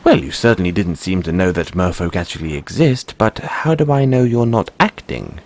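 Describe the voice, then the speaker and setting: Guy doing a proud merman prince for audio rp, formal n wary, deep-ish, really animated delivery. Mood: guarded, earnest. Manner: formal, deliberate, measured phrasing with dramatic pauses. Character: proud, secretive merman prince, courteous but wary of humans. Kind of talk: scripted audio roleplay addressed to the listener.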